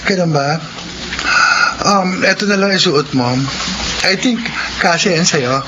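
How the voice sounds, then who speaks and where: An actor playing a smooth, persuasive male talent agent in a radio drama, with measured hesitations. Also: high voice. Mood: coaxing. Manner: smooth-talking, hesitant pauses, code-switching. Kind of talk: Filipino radio drama acting. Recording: dull, noisy radio drama audio.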